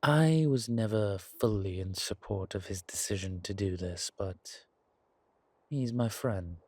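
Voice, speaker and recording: A weary old vampire lord, acted by a man for an audio drama. The voice is low and husky and moves slowly, with dry sardonic wit. It sounds close and dry, with old-fashioned formal phrasing. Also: darkish tone, no echo. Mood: grumbling. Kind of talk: dramatic audio roleplay acting.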